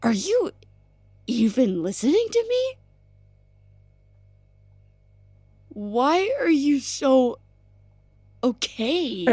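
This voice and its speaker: Fiction podcast role: distraught, accusing woman, highish voice, emphatic and confrontational, dry close sound. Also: big pitch swings, slow pace, darkish tone, no room sound, exaggerated voice. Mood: hurt, accusing. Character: distraught, profane, accusing woman trapped at work. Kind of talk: audio drama dialogue.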